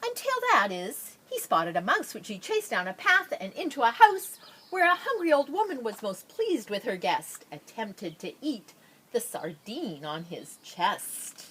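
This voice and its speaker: A woman reading a children's picture book aloud expressively, with drawn-out dramatic phrasing and playful asides. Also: fairly high pitch, big pitch swings, pushed and bright, breathy edge. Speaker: storybook reader.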